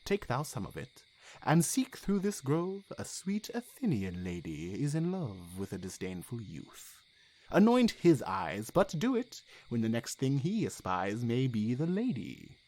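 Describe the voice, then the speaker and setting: A man's voice: mid-range voice, huge pitch swings, measured pace, darkish tone. An actor playing a commanding, scheming fairy king in classical Shakespearean verse, with a declamatory, theatrical manner.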